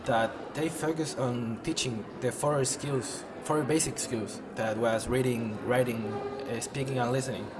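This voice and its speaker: A man's voice: medium pitch, fairly even intonation, unhurried, hardly any pauses. A young man of eighteen talks in a medium voice for a class presentation. He stumbles and restarts, with "uh" fillers along the way. Noise runs behind him throughout. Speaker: English pedagogy student.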